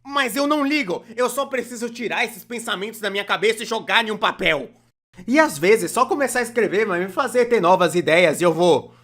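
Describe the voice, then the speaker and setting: A Brazilian performer dubbing a young cartoonist who narrates an animated comedy video in a conversational, self-deprecating, complaining way. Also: medium pitch. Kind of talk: scripted comedic storytime narration, fan dub.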